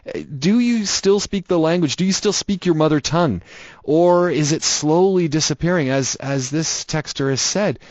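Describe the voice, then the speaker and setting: A man's voice: on the high side, moderate pace, a little mellow, dry recording, highs cut off. A man hosting a radio call-in show, musing aloud, repeating words and asking listeners many questions.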